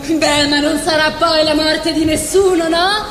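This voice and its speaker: An awkward lady mourner's visitor played by a woman in a comic stage piece, with a high voice. She offers stock sayings hesitantly and sententiously. Her voice echoes around a noisy hall. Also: steady tone, measured pace, a bit bright. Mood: awkward consoling. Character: awkward condolence caller reciting stock sayings. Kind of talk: live comic stage dialogue. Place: stage at a live benefit evening. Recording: echoey live hall, clear noise.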